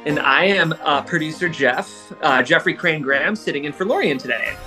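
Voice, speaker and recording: A man's voice: fairly high pitch, animated, moderate pace, music in the background. A man co-hosting a podcast in casual chat, talking in an enthusiastic, reflective way. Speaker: podcast co-host and producer.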